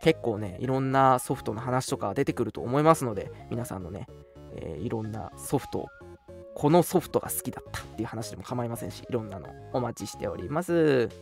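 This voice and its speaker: A man's voice: medium pitch, expressive, fairly quick, gravelly, a little mellow, soft background music. A young man hosting a casual radio show, chatting politely with some self-deprecation. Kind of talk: casual radio chat and announcements.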